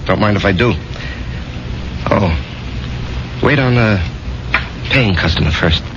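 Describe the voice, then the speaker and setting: A man's voice: on the low side, lively intonation, takes it slowly, noisy background. An actor playing a wistful, haunted American working man in a radio drama, conversational and a little hesitant. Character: wistful, haunted working man, army veteran regular at bar. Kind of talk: 1970s radio drama acting. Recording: dull 1970s radio recording with music.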